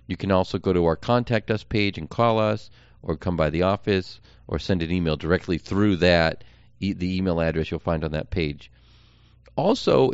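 An American man giving an informal campaign update as a podcast host and candidate, explaining things earnestly in short measured phrases. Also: deep voice, darkish tone, clear, resonant tone, dry recording, dull audio.

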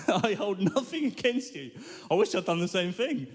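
Man giving a lively stage testimony. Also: sits high, moderate pace. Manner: animated storytelling, self-mocking humour. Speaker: guest speaker. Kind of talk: personal testimony sermon. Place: large hall or tent at a live worship event. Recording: live hall reverb.

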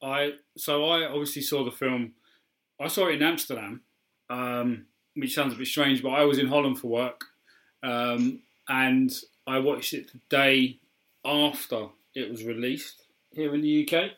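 Hombre inglés con voz brillante. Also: male voice, medium pitch, steady tone, unhurried, fairly bright tone. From England. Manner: chatty, hesitant with frequent ums and restarts. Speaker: podcast co-host. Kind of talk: casual film discussion.

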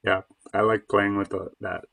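A man's voice: on the low side, level tone, normal speed, creaky, sharp tone, no room sound. A man speaking as a playwright in casual discussion, explaining in a rambling, informal way. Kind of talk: casual discussion after a reading.

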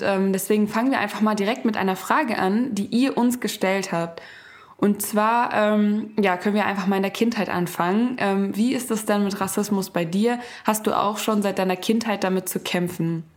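A woman co-hosting a podcast, talking in a conversational, hesitant and reflective way. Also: medium pitch. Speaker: podcast co-host.

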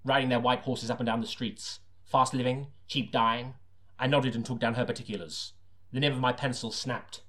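Grave, deadpan noir detective, acted by a man. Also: medium pitch, normal speed, lightly acted. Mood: grim, deadpan. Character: brooding hard-boiled noir detective narrating his case. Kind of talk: scripted noir narration, deadpan parody.

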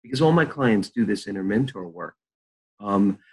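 An older man, a therapist, leading a slow guided visualization and then chatting about his practice. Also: lowish voice, expressive, breathy edge, low-quality recording. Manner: slow soothing guided-meditation delivery.

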